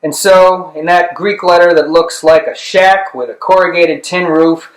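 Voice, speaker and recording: A man with a slightly high voice narrates a literary story in a clearly roomy space. He reads expressively and deliberately, leaving dramatic pauses between phrases. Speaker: audiobook reader. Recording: roomy, echoing room sound.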